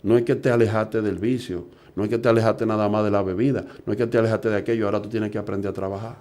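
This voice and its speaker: A man's voice: lowish voice, steady tone, fast, warm tone. A middle-aged man, preaching earnestly and asking rhetorical questions. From Dominican Republic. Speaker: evangelist preacher. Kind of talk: preaching talk.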